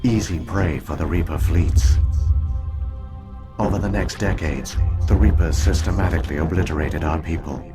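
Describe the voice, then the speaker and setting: Game role: ancient machine guardian, male actor, very low voice, formal, measured, weary gravity, over clear music. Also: close to an everyday voice. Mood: grave. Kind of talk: scripted video game dialogue.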